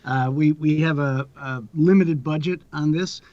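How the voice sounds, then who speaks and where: A man with a voice a little higher than average chats as an interview guest. His delivery is conversational and informal. The recording is somewhat dull and lo-fi. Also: measured pace, a little mellow, no room sound. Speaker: guest, audio drama creator. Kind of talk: casual interview talk.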